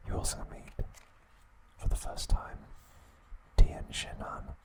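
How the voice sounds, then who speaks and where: A man hosting ASMR trivia, speaking softly in short, unhurried phrases with gentle pauses. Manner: unhurried, soft, pausing between short phrases. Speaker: ASMR host. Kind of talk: whispered ASMR trivia narration.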